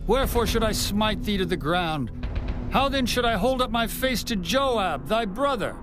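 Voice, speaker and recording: A man voicing a stern male army captain in a dramatized scripture reading, with a high, strained, pleading delivery. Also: expressive, moderate pace, music in the background. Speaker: voice actor.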